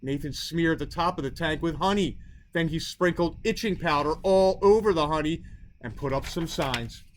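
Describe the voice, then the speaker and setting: A man narrating a children's storybook as a storyteller, in expressive scripted narration. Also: fairly high pitch, moderate pace, a little hiss or hum. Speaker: storyteller reading a children's book.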